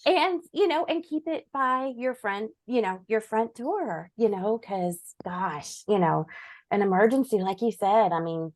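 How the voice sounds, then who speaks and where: A woman with a mid-pitched voice chats casually in a supportive, agreeable way. Her sound is dry, with little room around it. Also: no echo. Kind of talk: casual chat.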